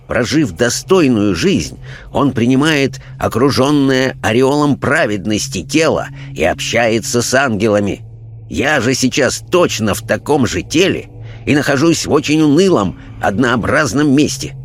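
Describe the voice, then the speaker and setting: A man voicing a pompous, pious gentleman ghost in an audiobook, speaking formally with offended haughtiness. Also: medium pitch, slight background noise, pitched above the performer's own voice, close to an everyday voice.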